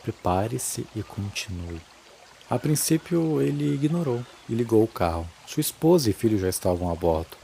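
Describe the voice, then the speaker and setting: Dark-voiced man narrating horror drama. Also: mid-range voice, expressive, fairly quick, vocal fry, few pauses, a little hiss or hum. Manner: solemn, dramatic.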